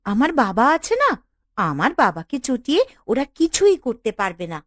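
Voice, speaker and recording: A loyal, confident young village woman from a cartoon acted by a woman. She is reassuring and decisive, with a highish, very animated voice in a dry recording. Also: normal speed, dry recording. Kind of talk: Bengali cartoon dialogue.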